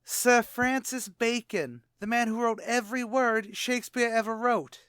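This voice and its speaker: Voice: mid-range voice, measured pace, a bit bright, clean tone, dry recording, studio quality, lightly acted. An actor playing a pompous, wisecracking tavern manager with a brash, mock-erudite manner in a radio comedy. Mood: pompous, argumentative. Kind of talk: old-time radio comedy acting.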